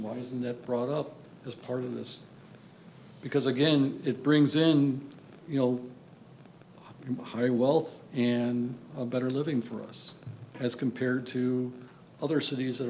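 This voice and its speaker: A man's voice: medium pitch, creaky, darkish tone, slight background noise, poor audio. An older retired man speaking as a resident at a public hearing, earnest and rambling as he questions the council. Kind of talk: public comment.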